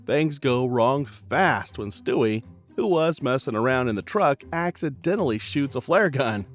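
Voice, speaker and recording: A man's voice: medium pitch, animated, a little slow, faint music behind. A man narrating a cartoon recap in a playful, chatty scripted style. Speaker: channel narrator.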